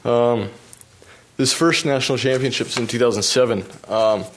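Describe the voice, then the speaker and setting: A young American man, a pro bike racer, telling stories about his races while showing slides, relaxed and full of fillers, laughing at himself now and then. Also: mid-range voice, normal speed, slight background noise. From United States. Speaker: pro cyclist giving a talk. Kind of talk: storytelling presentation with slides.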